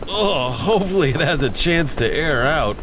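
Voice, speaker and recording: A man's voice: a little high, expressive, moderate pace, noisy background, natural voice. An actor playing a young adult son, playful and a little chiding toward his father. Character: caring grown son, playful and a bit worried.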